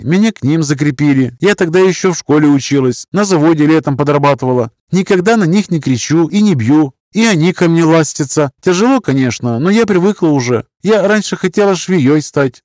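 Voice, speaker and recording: A man voicing a naive, gentle young village woman who talks simply and sincerely about herself in an audiobook. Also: a little high, no echo, studio quality, voice pushed up, close to an everyday voice.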